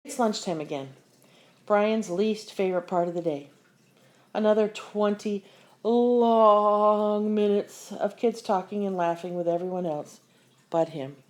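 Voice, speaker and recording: A woman reading a children's picture book aloud with expressive, deliberate storyteller phrasing. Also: mid-range voice. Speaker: story reader.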